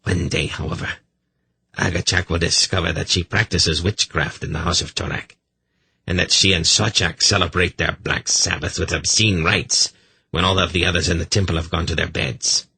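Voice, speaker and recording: A dark, venomous temple priest, played by a man reading a fantasy audiobook, muttering in a low, very husky voice. He sounds bitter and conspiratorial. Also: fairly even intonation, moderate pace, heavily acted, low-quality recording.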